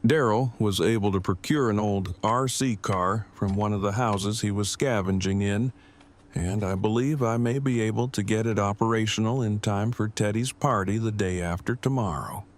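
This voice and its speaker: Actor as deadpan, formal bookish man, earnest and wordy, lowish pitch; audio drama. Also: normal speed, close to an everyday voice.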